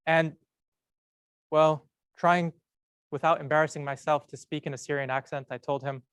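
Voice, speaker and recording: A young man giving a prepared, storytelling talk at a medical school event, measured and earnest with slow emphatic pauses. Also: on the high side, steady tone, smooth, no room sound, studio quality. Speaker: medical student speaker.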